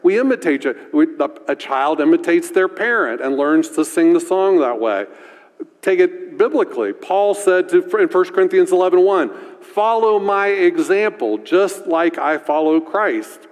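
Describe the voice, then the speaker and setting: Deep-voiced preacher guy, chatty sermon, keeps saying right?, long hall echo. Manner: conversational, asks "right?" often. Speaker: pastor.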